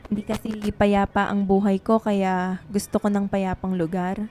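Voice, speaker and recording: A woman's voice: mid-range voice, flat delivery, moderate pace, dark tone, a little hiss or hum, natural voice. A woman playing an earnest, tender young woman writer in love, in a radio drama. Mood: affectionate.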